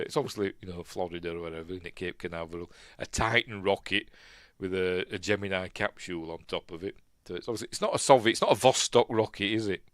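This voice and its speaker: A man with a Yorkshire accent hosting a casual film commentary, rambling and musing aloud, often second-guessing himself and trailing off quietly. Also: low voice, dry recording. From Yorkshire, England. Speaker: film review host.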